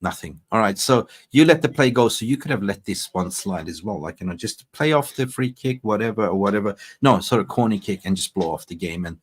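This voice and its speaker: Low-voiced man from Sweden hosting a football watch-along, blunt and sweary, quick to get excited. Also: slight background noise. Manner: blunt and swearing, excitable when reacting to live scores. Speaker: football fan channel host. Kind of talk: casual post-match fan chat.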